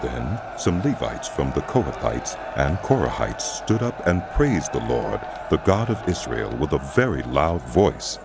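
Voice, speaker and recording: A man narrating scripture as a scripted drama, telling the story in a solemn, measured way. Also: a bass voice, sing-song, vocal fry, background noise.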